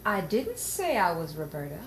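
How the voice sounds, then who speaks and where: A woman's voice: lowish voice, huge pitch swings, normal speed. A woman playing a frightened, distrustful crime witness in an improvised noir radio play. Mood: wary, alarmed. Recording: echoey room, distant mic, faint noise.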